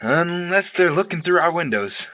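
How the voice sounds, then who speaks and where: A man's voice: a little high, lively intonation, normal speed, sharp tone. A man playing a teasing, joking husband trading banter in an audio drama. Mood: playful. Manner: joking, chuckling.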